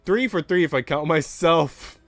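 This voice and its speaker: A man's voice: fairly high pitch, measured pace, no echo, faint music behind. A man livestreaming, reading story narration aloud and adding slangy, playful casual commentary.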